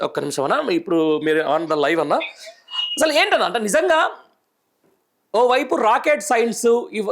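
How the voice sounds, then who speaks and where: High-pitched indignant Telangana man, news host. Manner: indignant, rhetorical questioning, emphatic. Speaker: news show host, journalist. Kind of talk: live political news commentary and phone interview.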